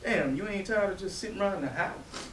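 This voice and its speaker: A restless young man, as acted by a male stage reader, pushy and full of slang. His voice is a little high, and it comes from a distance in an echoing room with faint noise. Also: fairly quick, a bit bright. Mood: coaxing. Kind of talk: stage readers theatre acting.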